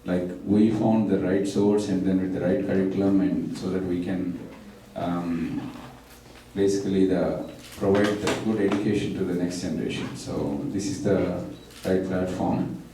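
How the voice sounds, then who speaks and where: A man introducing a guest speaker, halting and full of fillers. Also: low voice, fairly even intonation, mellow. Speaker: local center partner introducing the speaker. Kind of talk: hesitant introduction speech. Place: large echoey room. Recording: echoey room from far off, faint noise.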